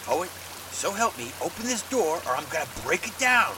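Man playing high-voiced, animated, exasperated noir schemer. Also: normal speed, background noise. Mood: impatient. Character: exasperated, fast-talking old friend bringing a shady job. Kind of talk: noir audio drama acting.

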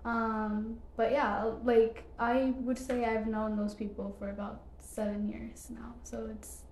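A woman's voice: on the high side, little pitch movement, slow pace, a little mellow, echoey room, a little hiss or hum, poor audio. A young woman from Ethiopia, a podcast guest, answering casually with many fillers and short laughs. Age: young adult.

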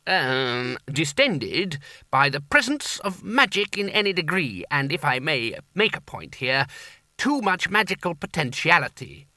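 A man's voice: fairly high pitch, pushed and bright, no room sound, exaggerated voice. A man voicing a fussy, rambling wizard law lecturer who hesitates and stitches together fractured clauses. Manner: flustered, hesitant, wandering clauses with ums.

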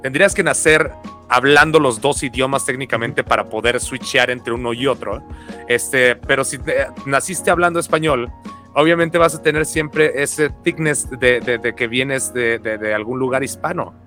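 Brief: Mexican podcast co-host, male, bright forceful tone, slangy and relaxed. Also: medium pitch, moderate pace, faint music behind. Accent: Mexican Spanish. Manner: relaxed, slangy, many fillers and restarts. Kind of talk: casual podcast chat.

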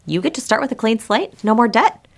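A woman's voice: on the high side, expressive, fairly quick. A woman pastor giving a prepared but conversational sermon, speaking directly to her listeners and posing rhetorical questions.

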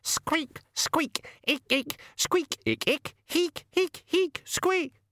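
Shrill squeaking skeleton rat. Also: male voice, very slow, bright, forceful tone, no room sound, heavily acted. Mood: agitated.

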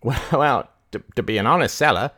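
A man's voice: medium pitch, animated, fairly quick, pushed and bright, no room sound, studio quality, voice pushed up. A man voicing a rustic, muddled, servile asylum keeper in an audiobook, stammering in folksy dialect. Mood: sly, obliging.

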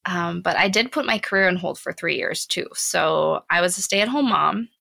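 Young american lady on a podcast, real candid casual talk, fry in her voice, very dry close sound. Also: fairly low pitch, moderate pace, bright, forceful tone, no room sound. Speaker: podcast co-host. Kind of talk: casual chat.

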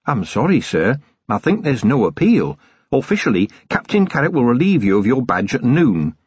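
Man voicing a chirpy female dwarf sergeant, raised pitch. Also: sing-song, normal speed, a little mellow, husky, no room sound, lo-fi sound. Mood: sympathetic. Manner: polite, chirpy, eager. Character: cheerful, deferential female dwarf police sergeant.

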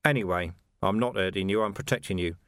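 Gruff weary cop for audiobook; male reader doing a curt, growling police chief, dry booth. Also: low-pitched, quick pace, a bit bright, dry recording. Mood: stern. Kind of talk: audiobook character voice.

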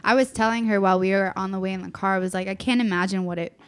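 A woman's voice: mid-range voice, steady tone, racing. A woman hosting a morning radio talk show, upbeat and laughing often in casual chat.

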